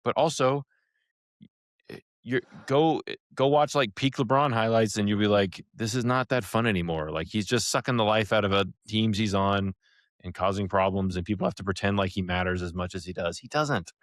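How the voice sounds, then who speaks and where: A man's voice: fairly low pitch, a little fast, dry recording, studio quality. An American man co-hosting a podcast in casual chat, opinionated and emphatic in the way he talks. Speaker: podcast co-host.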